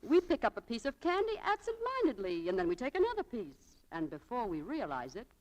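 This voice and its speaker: Young shop girl, chatty and spirited, high voice, swooping intonation, old radio drama. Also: huge pitch swings, brisk, lo-fi sound. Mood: eager, persuasive. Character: chatty, spirited young saleswoman, quick to take offence. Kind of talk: 1940s radio acting. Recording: old 1940s radio broadcast.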